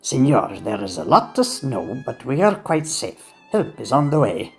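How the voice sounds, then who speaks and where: A gravelly, homely train servant of Victorian days, dutiful and plain-spoken. The voice bobs about in pitch, with faint music behind it in this audio drama. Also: male voice, sing-song, a little slow, darkish tone. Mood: patient, put out. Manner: homely, rustic grammar. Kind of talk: period farce audio drama acting.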